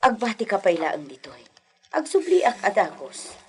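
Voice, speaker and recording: A Filipino-accented woman playing a worried, protective mother in a radio comedy-drama, coaxing and fretting in a husky voice. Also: mid-range voice, sing-song, measured pace, slight background noise. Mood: concerned, resolute.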